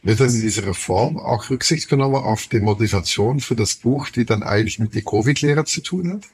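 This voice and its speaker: Husky Flemish-accented man interviewing. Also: medium pitch, expressive, a little fast. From Flanders, Belgium. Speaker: podcast host, interviewer. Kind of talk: casual conversational interviewing.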